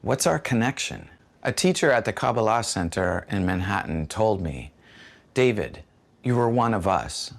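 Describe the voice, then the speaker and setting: A man's voice: lowish voice, lively intonation, moderate pace, vocal fry. A man speaking in a reflective, searching way in a documentary interview.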